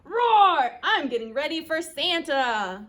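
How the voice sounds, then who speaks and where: Woman playing a little young dinosaur for a children's storybook: high-pitched, excitable, boisterous roarer. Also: slow pace, heavily acted. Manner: shouted roars and short exclamations.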